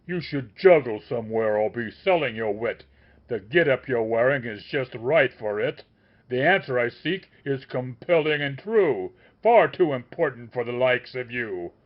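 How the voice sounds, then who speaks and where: A man voicing an obsessive male seeker of truth who shouts a scornful tirade, on a dull lo-fi recording. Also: mid-range voice, lively intonation, unhurried. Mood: furious.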